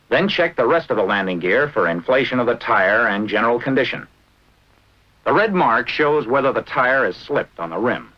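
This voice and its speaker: Lively American 1940s training film narrator, male. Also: mid-range voice. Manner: brisk, instructive, clipped short phrases. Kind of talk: scripted 1940s military instructional film narration. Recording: dull, band-limited vintage film soundtrack.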